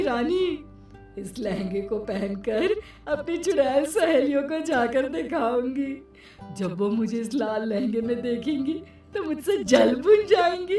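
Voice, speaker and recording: A woman's voice: a little high, a little mellow, distant mic. A woman voicing a vain, spiteful, thieving witch in a horror-comedy story, theatrical and gloating with cackles. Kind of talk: Hindi horror-comedy cartoon story acting.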